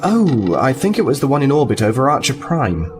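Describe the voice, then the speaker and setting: A man's voice: medium pitch, huge pitch swings, brisk, music in the background. A man playing an earnest, diplomatic lieutenant in a sci-fi audio drama, polite and composed as he explains. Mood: composed, cordial. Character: earnest, diplomatic male starfleet lieutenant, liaison officer.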